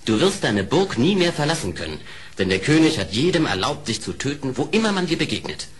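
An actor playing a loyal badger in a radio play, pleading respectfully and persuasively. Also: male voice, mid-range voice, very animated, brisk, lightly acted. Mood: urgent, admonishing. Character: loyal, earnest male badger, pleading nephew. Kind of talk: German radio play acting.

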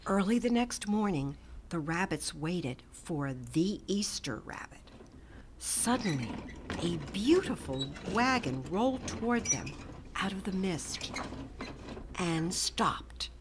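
A middle-aged woman reading a children's picture book aloud with gentle, expressive storytelling and soft whispered asides. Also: lowish voice, slow pace, noisy background. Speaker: storytime reader. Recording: music playing under the voice.

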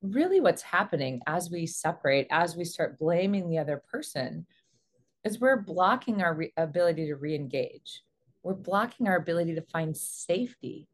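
Woman, 40s, fairly low voice, earnest and measured coach delivering instructive advice to camera. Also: expressive. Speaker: relationship coach and host. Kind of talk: explanatory advice talk to camera. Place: small indoor room.

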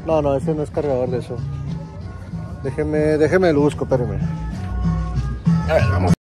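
A man's voice: a little high, normal speed, over loud music. A man, chatting casually to viewers from his stall at an outdoor flea market, easygoing and friendly. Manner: easygoing, addresses viewers as "mi gente". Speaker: vlogger selling at a flea market. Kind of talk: casual vlog chat.

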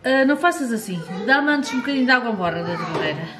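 A middle-aged woman with a European Portuguese accent, calmly explaining a family recipe in brief, practical answers. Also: on the high side, fast talker, a crowd in the background. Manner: brief, matter-of-fact answers. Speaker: home cook, the host's mother. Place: home kitchen, indoors. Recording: echoey kitchen with background noise.

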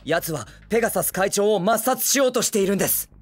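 A man voicing a brash, excitable teenage boy hero in an anime film, with cocky, eager, boyish speech. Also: high-pitched, quick pace. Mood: earnest, urgent. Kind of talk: Japanese anime film acting.

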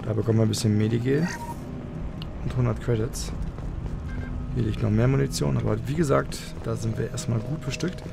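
Creaky-voiced man thinking aloud over game music. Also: mid-range voice, fairly even intonation, fairly quick, long pauses. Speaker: let's player. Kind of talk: casual gameplay commentary. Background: video game music and effects.

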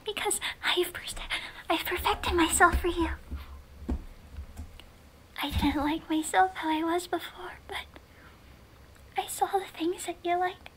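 A woman's voice: extremely high pitch, fairly even intonation, unhurried, pauses often, slight background noise, poor audio. A woman playing an obsessive, lovestruck, sweetly menacing young yandere in an intimate ASMR roleplay, often whispering. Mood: lovestruck, possessive.

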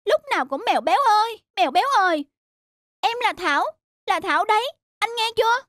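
A woman's voice: very high-pitched, lively intonation, fairly quick, a bit bright, dry recording, studio quality. A woman voicing a pouty, clumsy young girl, a sulky little sister in a cartoon. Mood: indignant. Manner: pouty, protesting. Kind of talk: cartoon voice acting. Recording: music bed under the voice.

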